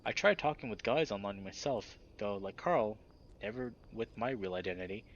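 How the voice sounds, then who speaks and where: A man's voice: lowish voice, moderate pace, muffled recording, a little hiss or hum. A man reading first-person visual novel narration in a dramatic, scripted storytelling style.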